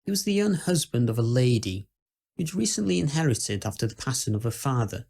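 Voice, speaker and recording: A man playing a skeptical, uneasy Victorian gentleman who tells ghost stories in a formal, measured, old-fashioned way. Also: medium pitch, very animated, gravelly. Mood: grave, musing. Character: dread-filled, skeptical Victorian gentleman recounting house ghosts. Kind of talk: first-person dramatic ghost-story monologue.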